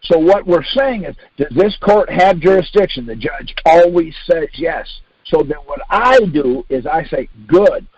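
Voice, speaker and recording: Older American male, husky and high-pitched, explaining informally and insistently on a lo-fi conference line. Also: lively intonation, unhurried, few pauses, a little mellow, dry recording. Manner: insistent, repetitive, uses rhetorical questions. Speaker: speaker leading a conference call. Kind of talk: informal instructional talk with anecdotes and acted-out exchanges. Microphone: phone conference line. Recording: dull lo-fi conference call audio.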